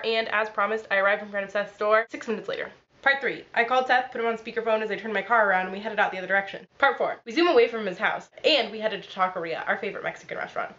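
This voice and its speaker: A young woman who is ill and sounds nasal, telling a story in a quick, dry, deadpan vlog. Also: medium pitch, lo-fi sound. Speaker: vlogger.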